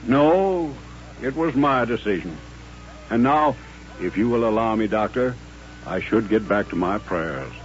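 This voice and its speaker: Old colonel, western radio drama: proud, pious, courteous, measured and solemn. Muffled vintage sound. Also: male voice, lowish voice, expressive, slight background noise. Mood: grave, penitent. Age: older. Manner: formal, measured, solemn phrasing. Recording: dull old radio recording with faint music.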